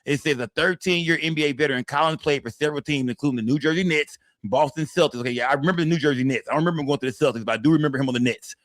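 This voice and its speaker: Fast-talking man in his forties. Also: a little high, fairly bright tone, dry recording, clean studio sound. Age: 40s. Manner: loose, profane, repeats phrases with tag words like "man" and "fam". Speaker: live stream host. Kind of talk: casual live-stream chat, reading the chat aloud.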